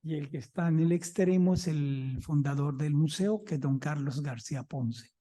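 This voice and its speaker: A man's voice: on the high side, moderate pace, warm tone. A man with a Mexican Spanish accent giving a conference talk as a museum director, chatty and anecdotal, often checking in with listeners.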